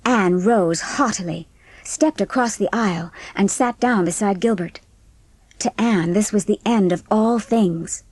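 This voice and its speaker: A woman narrates a storybook in scripted audiobook style. Her voice sits at a middle pitch, and the sound is dry with no room echo. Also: unhurried, darkish tone.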